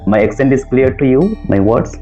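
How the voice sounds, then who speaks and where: A man with an Indian accent practicing English with a tutor over a video call, polite and hesitant, pausing on "uh" and saying "ma'am". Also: medium pitch, lively intonation, dark tone. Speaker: English learner. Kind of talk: casual lesson chat. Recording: dull lo-fi call audio with faint background music.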